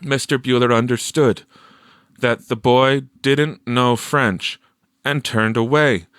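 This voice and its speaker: A man narrating a story from a script in a measured, expressive storytelling manner. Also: mid-range voice, smooth, dry recording, studio quality. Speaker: audiobook narrator.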